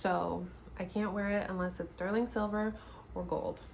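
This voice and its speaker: A woman's voice: mid-range voice, fairly even intonation, normal speed, gravelly, darkish tone, slight background noise. A woman in her late twenties chatting casually in a sit-down get-to-know-me vlog, laughing often and restarting her sentences. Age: 20s. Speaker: YouTuber. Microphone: camera mic, seated at home.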